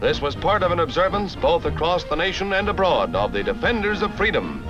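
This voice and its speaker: American guy doing dramatic 50s newsreel narration, kinda high voice, big music behind, dull old film sound. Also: very animated. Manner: brisk, dramatic announcer delivery. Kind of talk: 1950s theatrical newsreel narration.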